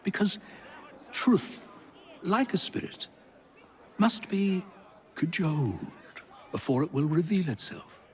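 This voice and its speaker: An actor playing a genteel Victorian gentleman in a video game, speaking in florid phrases with dramatic pauses. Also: sits high, big pitch swings, heavily acted. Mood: grand, persuasive. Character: genteel, theatrical Victorian gentleman, a self-declared skeptical ghost investigator. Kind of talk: video game cutscene acting.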